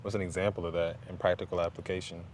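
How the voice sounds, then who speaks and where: Male conversation partner, very low register, casual prompting questions, noticeable room ambience. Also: level tone, rapid-fire. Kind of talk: casual chat. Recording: background ambient noise.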